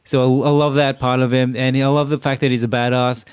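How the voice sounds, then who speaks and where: A man's voice: mid-range voice, flat delivery, quick pace, clear, resonant tone, no echo. A man as a guest in a casual chat, easygoing and a little hesitant.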